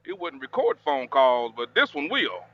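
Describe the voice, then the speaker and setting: A man's voice: medium pitch, sing-song, moderate pace, bright, forceful tone. A man with a Southern American accent, hosting a casual interview in a folksy, colloquial way over a helmet headset. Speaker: host, motorcycle vlogger. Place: riding a motorcycle outdoors. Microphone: motorcycle helmet headset. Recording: dull headset audio with vehicle noise.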